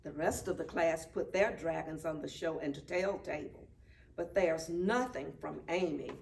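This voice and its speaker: A woman reading a children's picture book aloud at story time, expressive and deliberate. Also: deep voice, creaky. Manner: deliberate, animated. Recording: distant mic, faint background noise.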